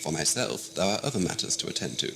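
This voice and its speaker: A man playing a smug, condescending alien schemer in an audio drama, measured and theatrical. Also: sits low, very animated, gravelly, noisy background, heavily acted. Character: scheming, suave, condescending male renegade Time Lord villain.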